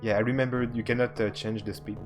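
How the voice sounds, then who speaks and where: A man streaming a retro game live, commenting in a halting way with many restarts. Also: fairly low pitch, little pitch movement, over music.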